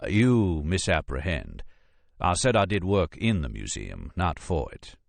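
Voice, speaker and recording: A man's voice: low voice, big pitch swings, a little slow, vocal fry, no echo, heavily acted. A man voicing a courteous, self-assured FBI agent in an audiobook, with a creamy drawl and formal, precise, drily witty delivery. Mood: dry, unhurried. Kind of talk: audiobook character dialogue.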